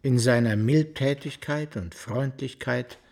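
An actor playing a gentleman who narrates a classic family novel in an expressive audio drama style. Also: mid-range voice, unhurried, vocal fry. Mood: expressive storytelling.

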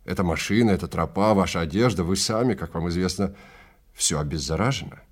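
A man's voice: deep voice, brisk. A man playing a stern, contemptuous male time-travel guide in an audio drama, lecturing and commanding with great emphasis. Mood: measured caution. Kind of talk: Russian audio drama acting.

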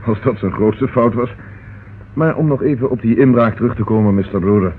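A man playing a calm, courteous, methodical police inspector in a radio play, measured and probing. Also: mid-range voice, natural voice. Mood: reassuring. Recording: noisy, tinny old radio recording.

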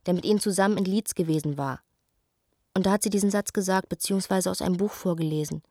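A woman's voice: fairly low pitch, darkish tone, no room sound. Eva, a bitter, reflective woman letter-writer, close and dry. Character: Eva, young woman writing an anguished letter to a friend. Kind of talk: radio drama letter reading.

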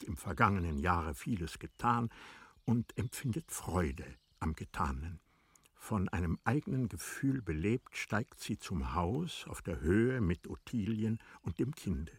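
A man narrating a literary radio play in a measured, formal storytelling manner. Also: fairly low pitch, slightly rough, dry recording.

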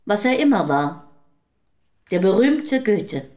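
Wary plain-spoken woman in a radio drama, mid-pitched, short guarded answers. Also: sing-song, takes it slowly, a little mellow. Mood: dry, guarded. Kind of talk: German radio play acting, terse dialogue.

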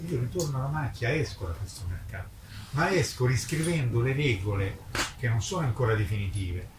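Older man speaking emphatically, echoey and distant. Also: medium pitch. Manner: emphatic, polemical, repeats words for emphasis. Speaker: independent publisher. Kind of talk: impromptu speech at a literary book presentation. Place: live book presentation at a festival event. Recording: distant mic, echoey, noisy ambience.

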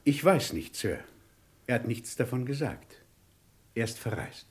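A stiffly polite butler in an old radio mystery. His mid-pitched voice has strongly moving intonation. He is deferential, reserved and gives little away. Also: very animated, a little slow. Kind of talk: German radio crime play acting of the 1950s-60s.

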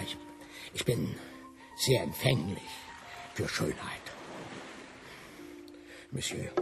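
An actor playing a pompous, boastful old French gentleman in a radio play, courtly and self-important. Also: mid-range voice, vocal fry, distant mic. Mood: sentimental, courtly. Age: older.

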